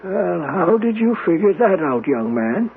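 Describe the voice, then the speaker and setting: An actor playing an elderly, wistful, haunted man in a radio drama, speaking in a halting, sighing, old-fashioned way. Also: high voice, big pitch swings, gravelly, slightly rough, heavily acted. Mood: wry, gruff. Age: older. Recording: tinny, noisy vintage radio broadcast.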